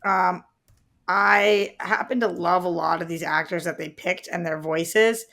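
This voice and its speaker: A woman's voice: on the low side, fairly even intonation, a little slow, vocal fry, fairly bright tone. A woman co-hosting a movie podcast, sharing her opinions in casual conversation. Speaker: podcast co-host reviewing movies. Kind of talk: casual chat, opinionated discussion.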